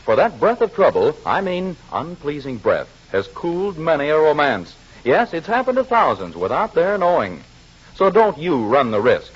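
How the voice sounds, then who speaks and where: Muffled old radio, male announcer, animated intonation, husky edge, emphatic salesman delivery, scripted 1940s commercial style. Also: fairly high pitch. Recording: muffled vintage radio broadcast.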